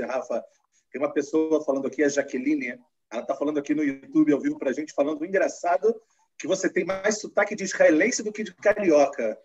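A man's voice: medium pitch, darkish tone, husky. A man hosting a live-streamed interview, talking in a loose, conversational way and asking long, rambling questions. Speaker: host. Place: lives in Israel. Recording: muffled lo-fi stream audio.

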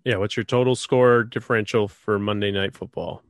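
A man's voice: fairly low pitch, fairly even intonation, a little fast, dry recording, studio quality. A stuffed-up man hosting a casual sports podcast, rambling with lots of fillers. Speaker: podcast host.

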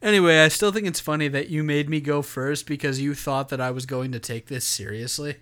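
Young man, podcast co-host, somewhat high voice, sheepish and giggly. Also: a little fast, fairly bright tone. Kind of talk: casual chat.

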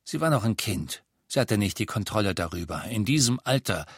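A man voicing a bitter old male tavern keeper who talks bluntly and scornfully, often slowly and with weight. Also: on the low side, big pitch swings, husky, no echo, clean studio sound, heavily acted. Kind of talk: audiobook character voice.

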